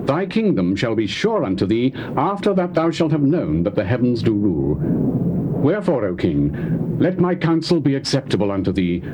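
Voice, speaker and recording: An actor playing a reverent, earnest prophet addressing a king, formal and measured in a scripture dramatization. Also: medium pitch, lightly acted. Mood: earnest, pleading. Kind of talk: dramatized scripture reading, theatrical acting. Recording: noisy old cassette tape.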